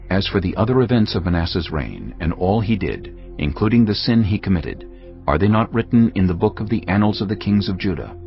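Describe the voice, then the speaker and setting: A man narrating a dramatised scripture reading in a measured, solemn storytelling manner. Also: low voice. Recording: slightly dull audio with music bed.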